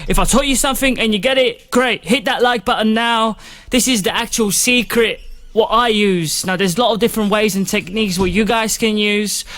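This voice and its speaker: High-pitched man giving a casual tutorial. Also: a bit bright. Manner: informal, rambling, chatty. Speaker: music production tutorial host. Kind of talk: casual step-by-step software tutorial.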